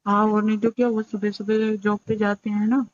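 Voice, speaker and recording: A woman's voice: medium pitch, little pitch movement, moderate pace, no room sound. A woman talking bluntly on a private phone call. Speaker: caller in leaked phone recording. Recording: dull lo-fi phone audio.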